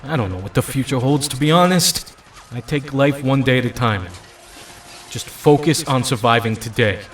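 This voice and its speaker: A man's voice: mid-range voice, expressive, moderate pace, a bit bright, distant mic, slight background noise, lightly acted. A man playing a steadfast, battle-weary young team leader in an audio drama, speaking earnestly. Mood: earnest, resolute.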